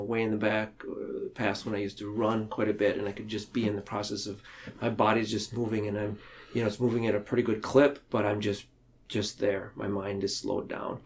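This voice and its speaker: A man talking reflectively and explaining ideas in a podcast discussion, haltingly, often circling back to rephrase. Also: on the low side, vocal fry, darkish tone.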